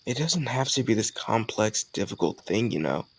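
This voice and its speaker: Male actor as cocky college charmer, young, low creaky voice, casual teasing delivery, romantic audio roleplay. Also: big pitch swings, normal speed, exaggerated voice. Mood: playful, persuasive. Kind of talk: scripted romantic audio roleplay addressed to the listener.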